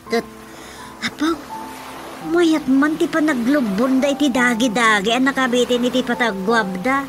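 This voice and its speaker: A woman's voice: high voice, normal speed, lightly acted. A Filipino woman playing a wife who questions and banters with her husband in a radio comedy drama, in a conversational manner full of exasperated interjections. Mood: gossiping. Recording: music bed under the dialogue.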